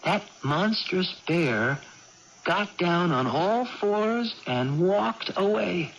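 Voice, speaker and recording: Earnest, animated young storyteller. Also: female voice, on the low side, extremely slow, heavily acted. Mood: amazed recounting. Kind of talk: 1980s radio drama acting. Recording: muffled, noisy old radio broadcast.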